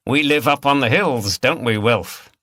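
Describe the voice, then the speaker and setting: A man's voice: medium pitch, measured pace, bright, forceful tone, dry recording, studio quality, voice pushed up. A man voicing an affable, chatty countryside local in an audiobook. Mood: easygoing. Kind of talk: audiobook character dialogue.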